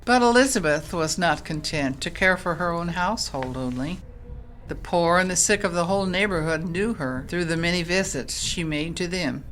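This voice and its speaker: A woman's voice: lowish voice, moderate pace, fairly bright tone, a little hiss or hum, natural voice, low-quality recording. A woman playing a kindly, devout storyteller who earnestly teaches children in an audio drama. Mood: storytelling. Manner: earnest, instructive, addresses listeners by name. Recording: music playing behind the voice.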